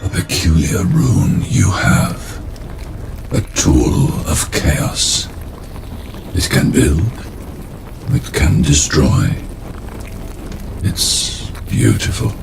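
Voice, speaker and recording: An actor playing a cryptic, brooding rune craftsman in a fantasy game, savouring each phrase in a gravelly voice. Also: sits low, sing-song, drawn-out words, pauses often, heavy background noise, full character voice. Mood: fascinated, reverent. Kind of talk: fantasy game character acting. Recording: very loud background ambience.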